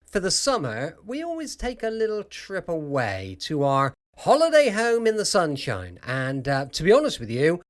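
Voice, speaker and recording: A man's voice: fairly high pitch, huge pitch swings, normal speed, few pauses. A British man hosting a nighttime old-time radio podcast with chatty, rambling informal asides. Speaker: podcast host.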